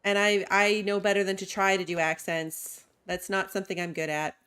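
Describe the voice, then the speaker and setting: A woman's voice: medium pitch, little pitch movement, normal speed, a bit bright, smooth. A woman streaming a video game, chatting casually and rambling with lots of "like". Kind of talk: casual gameplay commentary.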